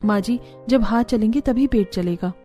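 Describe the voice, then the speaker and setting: A woman's voice: on the high side, moderate pace, warm tone, faint music behind, lightly acted. A woman playing a hardworking, patient village daughter-in-law and mother in a cartoon story. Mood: determined, weary.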